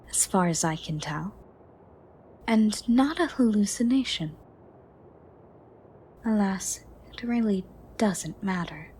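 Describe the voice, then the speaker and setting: Slow, gentle female shadow monster, acted by a woman. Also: medium pitch, breathy edge. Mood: wry, soft. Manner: slow, soft, formal, slightly archaic phrasing, soothing. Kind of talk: ASMR roleplay.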